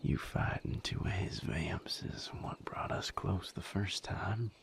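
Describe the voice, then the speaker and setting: A man playing a wry, tender vampire boyfriend in an intimate audio roleplay, with a breathy, gravelly voice and a folksy, unhurried, softly teasing way of talking. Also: low-pitched, in a whisper, heavily acted. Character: wry, tender male vampire boyfriend tending injured partner.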